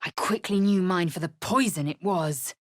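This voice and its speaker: A woman's voice: lowish voice, moderate pace, dry recording, clean studio sound, lightly acted. An actress playing a proud, fierce alien warrior woman in a video game, with a clipped, formal and haughty delivery. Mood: grave, recounting. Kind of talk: video game character voice acting.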